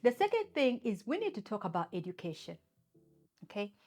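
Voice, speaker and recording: A woman's voice: medium pitch, big pitch swings, fairly quick, darkish tone, faint music behind. A woman from Uganda hosts her own channel and speaks straight to camera, making emphatic points and asking many rhetorical questions. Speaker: channel host. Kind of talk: opinion talk to camera.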